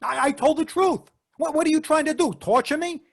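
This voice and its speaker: An actor playing a jittery, defensive young fisherman in a radio drama, husky and stammering. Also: extremely high pitch, brisk. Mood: panicked, defensive. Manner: stammering, agitated. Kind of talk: 1940s radio drama acting.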